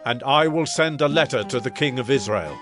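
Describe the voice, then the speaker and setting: A man's voice: medium pitch, expressive, brisk, a bit bright, faint music behind, lightly acted. An actor playing an authoritative king in a dramatized audio Bible, formal and regal. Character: authoritative king of Syria, adult male.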